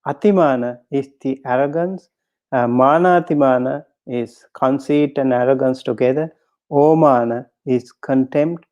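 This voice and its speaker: A man, a Buddhist monk, giving a dharma talk in a halting way with many pauses and fillers, reciting Pali terms and then explaining each one. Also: medium pitch, darkish tone. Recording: dull lo-fi recording.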